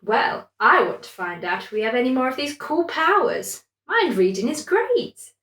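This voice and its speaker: A sentimental male prawn from a bedtime story as a woman storyteller puts him on. He is fussy and plummy and exclaims as he talks, pitched up from a normal speaking voice. The room can be heard around him. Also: very animated, normal speed, a bit bright, echoey room. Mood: excited, puzzled.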